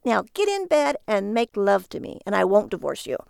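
A woman's voice: medium pitch, big pitch swings, moderate pace, vocal fry, darkish tone, clean tone, dry recording, clean studio sound. A woman voicing an irritated, sarcastic, weary wife in a story, with an exasperated, scolding delivery. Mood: exasperated, nagging.

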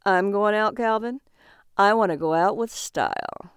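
A woman's voice: medium pitch, lively intonation, unhurried, smooth, dry recording, studio quality. Woman playing a brash, hot-tempered male agent. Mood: defiant. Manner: scornful, hot-tempered, yelling and growling. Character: balding, bitter, reckless male agent of death.

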